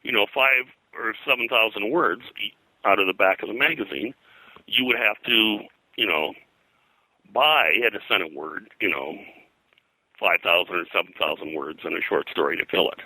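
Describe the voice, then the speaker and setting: A man's voice: lowish voice, moderate pace, sharp tone, no echo, rough recording. An American man speaking as an interview guest, a pop culture historian telling detailed anecdotes in a rambling, digressive way.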